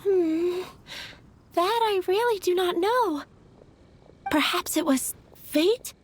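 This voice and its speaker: A woman's voice: extremely high pitch, slow, a little hiss or hum. A woman voicing a dreamy, lovestruck young florist in a video game who talks in a wistful, flustered way.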